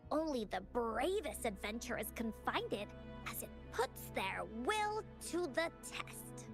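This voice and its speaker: A woman voicing a bubbly, excitable little girl adventurer in an anime game, childlike and eager. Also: a little high, a little slow. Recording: music under the voice.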